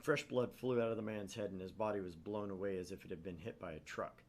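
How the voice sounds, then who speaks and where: A man's voice: sits low, fairly even intonation, fast, slight background noise. A man hosting a gaming channel, narrating a visual novel aloud with theatrical, irreverent casual asides.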